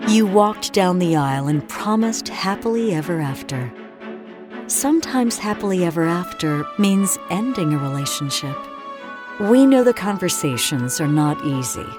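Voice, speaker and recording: A woman announcer reading scripted commercials in a smooth, measured, reassuring way. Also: on the low side, expressive. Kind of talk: scripted ad read. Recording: music bed under voice.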